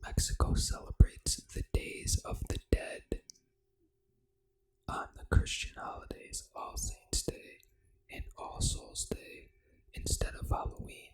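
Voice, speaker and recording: An ASMR host reading aloud in a hushed, fully unvoiced whisper, with deliberate phrasing. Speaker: ASMR gaming channel host.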